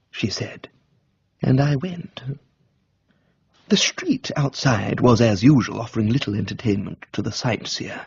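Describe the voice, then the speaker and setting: Man, posh English accent, comic audiobook narration, very breathy-husky, animated intonation, roomy sound. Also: medium pitch, lo-fi sound. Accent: English, upper-class. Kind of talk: scripted narration, comic audiobook in character as a genial gentleman.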